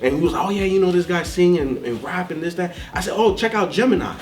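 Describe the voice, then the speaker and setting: An American man with a slightly high-pitched voice talks as a panelist in a casual review discussion. He is emphatic and slangy, often repeating himself, with faint music behind him. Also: expressive, quick pace. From southern United States, Florida.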